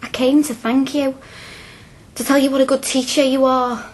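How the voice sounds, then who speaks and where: A woman's voice: sits high, flat delivery, normal speed, poor audio. A woman playing a frank, earnest young working-class student in a radio drama, plain-spoken and colloquial. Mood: earnest, reflective.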